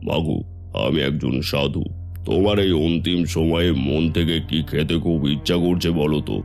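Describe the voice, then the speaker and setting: Cartoon sadhu acted by a man: extremely deep, creaky voice, fatherly and solemn, old-fashioned phrasing. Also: normal speed, a little mellow, soft background music. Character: wandering holy man, cryptic and fatherly. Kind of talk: Bengali cartoon folk-tale voice acting.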